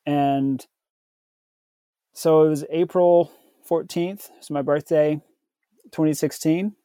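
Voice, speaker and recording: Slow-talking American man, mellow voice. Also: fairly high pitch, steady tone, dry recording, studio quality. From southern United States, Tennessee. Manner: halting, pausing often mid-sentence. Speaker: podcast guest. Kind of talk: reflective personal storytelling in an interview.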